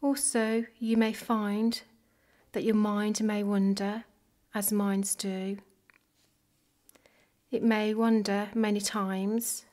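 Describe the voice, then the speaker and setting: A woman leading a guided body scan meditation in a soft, gentle, measured way. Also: medium pitch, flat delivery. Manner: gentle, measured, unhurried phrasing with pauses.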